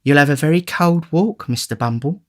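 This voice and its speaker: A man's voice: a little high, expressive, normal speed, voice pushed up, lightly acted. A man voicing a fussy, genteel middle-aged woman workhouse matron in an audiobook, simpering and fluttery. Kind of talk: audiobook character voice.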